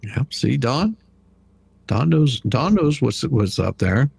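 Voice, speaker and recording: A man with a slightly husky voice hosting a casual livestream commentary in a blunt, mocking, colloquial way. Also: medium pitch, very animated, slow, darkish tone, no echo. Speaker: livestream host.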